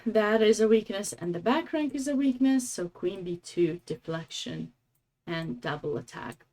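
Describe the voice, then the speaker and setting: A woman's voice: medium pitch, expressive, normal speed, mellow. A woman from Romania with a Romanian accent, giving casual, chatty live game commentary as a streamer, with affectionate asides.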